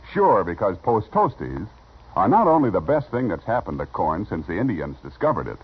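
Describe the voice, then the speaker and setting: A man announcing in 1950s radio style, with folksy, emphatic delivery and a husky voice. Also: low voice. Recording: muffled old radio recording.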